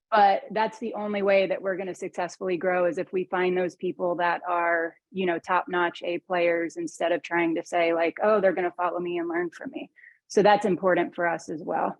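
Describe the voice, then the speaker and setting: A woman's voice: lowish voice, dry recording, lo-fi sound. A woman who co-owns a candle business, speaking earnestly on a panel. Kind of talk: panel discussion.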